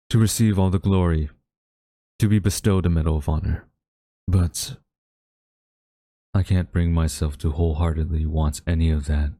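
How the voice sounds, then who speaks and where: A man's voice: low-pitched, dark tone, no room sound. A man acting a haughty government official with a secretly tender side, speaking formally and theatrically in a dramatic audio roleplay. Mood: cool, conflicted, then wistful, weary. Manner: formal, theatrical, deliberate wording. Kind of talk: dramatic audio roleplay monologue addressed to listener.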